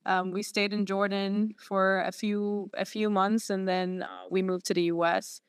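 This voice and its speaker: A woman's voice: mid-range voice, little pitch movement, clear, resonant tone, no echo. Earnest woman. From Syria. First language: Arabic. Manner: earnest, halting with many fillers and restarts. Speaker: podiatry student guest. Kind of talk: personal story in interview.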